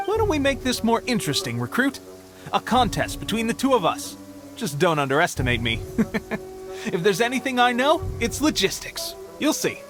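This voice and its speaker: An actor playing an eager, boastful young resistance soldier in a video game, with a self-assured, expressive delivery. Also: male voice, high-pitched, moderate pace. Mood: eager, challenging. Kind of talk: acted video game dialogue. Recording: music bed behind the voice.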